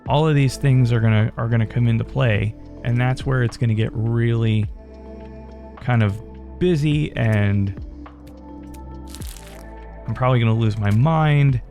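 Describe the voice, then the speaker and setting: A man hosting his gaming channel, talking in a relaxed, rambling way with fillers, his voice still strained from a head cold. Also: medium pitch, measured pace, pauses often, faint music behind. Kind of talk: casual gameplay commentary.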